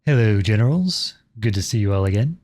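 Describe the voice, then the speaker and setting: A man playing an outlawed young prince serving as a lowly soldier in a roleplay audio drama, speaking in a formal, measured, courteous and earnest way. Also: animated, noisy background, close to an everyday voice. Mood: polite, wry.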